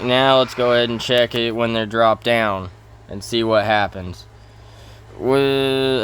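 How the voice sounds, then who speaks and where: Male gaming host, unhurried and monotone, casually thinking aloud through farm-game tasks with stop-start phrasing, faint noise behind. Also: mid-range voice. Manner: halting, thinking aloud with self-corrections. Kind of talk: casual gameplay commentary on a farming game.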